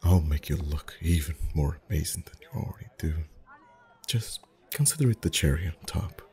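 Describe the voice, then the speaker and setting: A man acting as a friendly festival face painter in an ASMR roleplay. His voice is extremely low and mellow, softly spoken and gentle. He rambles in a chatty, lightly flirtatious way. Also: lively intonation, moderate pace. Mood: warm, attentive. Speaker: ASMR voice actor.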